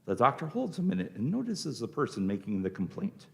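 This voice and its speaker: A man's voice: mid-range voice, huge pitch swings, brisk, gravelly, fairly bright tone. A man reading scripted narration for a radio play, setting each scene as the narrator.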